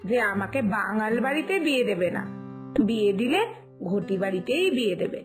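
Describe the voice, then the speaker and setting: A woman's voice: mid-range voice, expressive, fairly quick, over music, close to an everyday voice. A woman playing an eager, naive young daughter-in-law in a cartoon village tale, talkative and coaxing. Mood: gossipy, amused. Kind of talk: Bengali cartoon village family tale acting.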